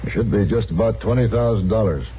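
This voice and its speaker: A courteous American sheriff with quiet authority , played by a man and a deep voice. His speech is formal and deliberate, in old radio western style, heard through a thin, clearly noisy vintage recording. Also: narrow, tinny audio, lightly acted. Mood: regretful.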